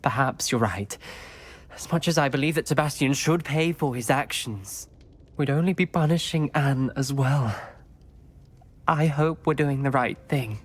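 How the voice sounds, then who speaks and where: A man's voice: medium pitch, unhurried, natural voice. A man voicing a principled, troubled teenage wizard with a British accent in a video game cutscene. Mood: reluctant, resigned.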